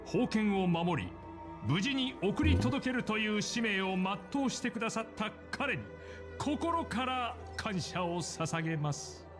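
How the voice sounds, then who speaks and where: A man's voice: high voice, very animated, moderate pace. A man playing an earnest, courteous envoy in an anime game, with formal, reverent and polite delivery. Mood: reverent, grateful. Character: courteous, earnest male envoy leading a delegation. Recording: music under the voice.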